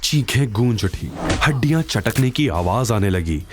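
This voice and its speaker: A man's voice: on the low side, animated, measured pace, background noise. A man narrating a scripted audio story in a dramatic storytelling style. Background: faint background music.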